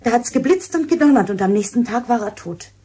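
A woman playing a blunt, defiant young village woman in a radio play, with a husky voice. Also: a little high, expressive, fairly quick, warm tone. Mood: superstitious, ominous. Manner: blunt, teasing. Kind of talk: naturalistic radio drama acting.

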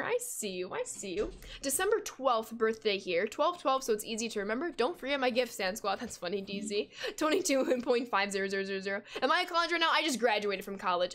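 A young woman in her twenties chats casually on a game stream, her voice sitting a little high. She reads viewer messages aloud between plays and breaks into quick gasps and laughter, heard through a desk mic at home. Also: a little hiss or hum. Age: 20s. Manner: chatty, reads chat aloud, bursts of excited gasps and laughs. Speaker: gaming streamer. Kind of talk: casual live-stream chat while playing.

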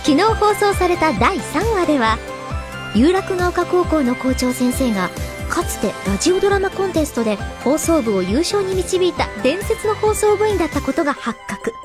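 A woman's voice: very high-pitched, sing-song, normal speed. A woman narrator reading a scripted radio drama introduction and recap. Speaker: announcer narrator. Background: music.

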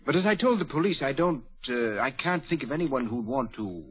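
A polite formal valet, raspy voice, muffled old radio. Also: male voice, mid-range voice, expressive, fairly quick. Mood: courteous. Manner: courteous, formal. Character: polite male valet, discreet and proper. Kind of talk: 1940s radio acting. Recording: dull lo-fi vintage radio broadcast.

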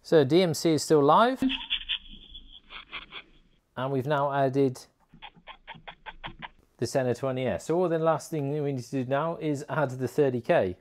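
Male tutorial host, mid-pitched, chatty and colloquial, informal step-by-step product explanation. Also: unhurried, pauses often, noisy background. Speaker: motorcycle gear video host.

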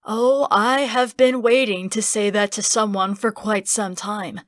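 A woman's voice: medium pitch, steady tone, measured pace, dry recording, studio quality, close to an everyday voice. Woman as a chatty, proud builder. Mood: pleased. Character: chatty, proud fantasy builder who loves puns. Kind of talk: audiobook character acting.

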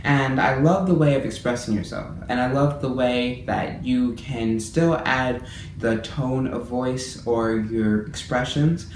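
A young man vlogging to the camera about languages he loves, in an earnest, eager way, slowing down to explain things. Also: mid-range voice. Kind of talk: casual to-camera vlog. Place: bedroom, indoors. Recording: echoey room, faint room hiss.

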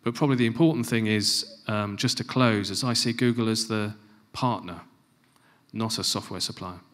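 A man's voice: on the low side, moderate pace, a bit bright. Emphatic man presenting on stage. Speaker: conference speaker. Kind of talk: conference presentation. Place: large conference stage. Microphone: handheld microphone.

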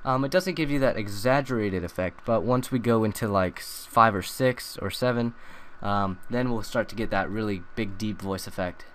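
A young man talking through a software tutorial in a loose, rambling way, full of ums and uhs. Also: fairly low pitch, moderate pace, slight background noise. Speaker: tutorial maker. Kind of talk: casual screen-recorded tutorial.

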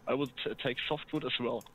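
A man giving quiet, brief replies to a teammate over voice chat during a game. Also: mid-range voice, steady tone, moderate pace, slightly rough, low-quality recording. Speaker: fellow player on voice chat. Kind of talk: casual voice chat.

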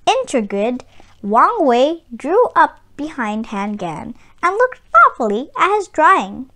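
A woman reading a children's picture book aloud as host, with deliberate, sing-song storytelling phrasing. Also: high voice, fairly bright tone.

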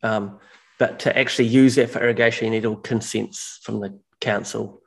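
A man's voice: fairly low pitch, fairly even intonation, normal speed, creaky, breathy edge. A man, a mushroom farmer chatting as a guest on a video call, explaining at length and often correcting himself mid-sentence. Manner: rambling, self-correcting explanations. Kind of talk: casual video call chat. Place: large bare indoor workshop room. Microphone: video call, headphones on.

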